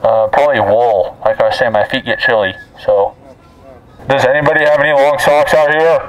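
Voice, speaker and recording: A man in his twenties speaking through a megaphone in an awkward, deadpan prank style. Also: medium pitch, normal speed, rough recording. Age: 20s. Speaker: prankster and video host. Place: campus lawns and lounges, some distance from camera. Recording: megaphone voice amid background chatter.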